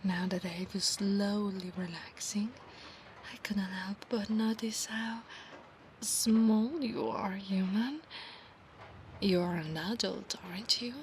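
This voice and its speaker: A woman's voice: mid-range voice, slow, slight background noise, close to an everyday voice. A woman voicing a proud, insistent female centaur knight of a holy order in an ASMR roleplay, formal and commanding in her speech. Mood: soft, intimate. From Italy. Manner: formal, commanding, haughty, archaic phrasing.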